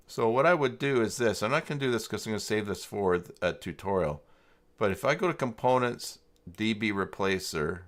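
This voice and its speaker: A man hosting a casual, unscripted livestream tutorial, talking haltingly as he thinks aloud through each step. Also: on the low side, fairly bright tone. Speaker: livestream host and web tutorial presenter.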